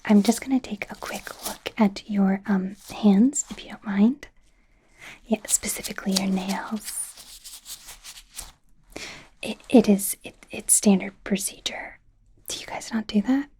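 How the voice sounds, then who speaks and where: A woman voicing an awkward, gentle werewolf doctor in a hesitant, breathy whisper for an ASMR roleplay. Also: medium pitch. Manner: hesitant, halting, softly reassuring. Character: awkward, curious young female werewolf doctor, gentle and apologetic. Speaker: ASMR performer.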